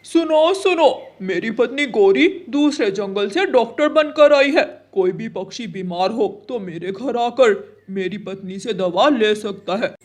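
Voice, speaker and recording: A woman's voice: on the high side, animated, measured pace, hardly any pauses, a little mellow. A woman voicing a proud, proclaiming crow husband in a children's cartoon. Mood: proud announcing. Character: proud crow husband announcing to forest birds.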